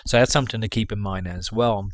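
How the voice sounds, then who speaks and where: A man's voice: fairly low pitch, quick pace, dry recording. A man with a Canadian accent, giving rambling business advice in a casual vlog. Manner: rambling, with restarts and fillers. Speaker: dropshipping vlogger.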